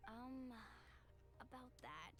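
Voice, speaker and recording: A woman's voice: high-pitched, expressive, slow pace. A woman playing a worried, exasperated teenage girl, someone's sister, in a video game. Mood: sulky, hesitant.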